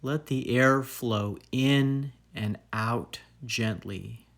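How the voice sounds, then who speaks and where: Very slow, calm man guiding meditation. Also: medium pitch, creaky. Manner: calm, soothing, deliberate with long pauses. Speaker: meditation guide and fitness trainer. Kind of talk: guided meditation narration.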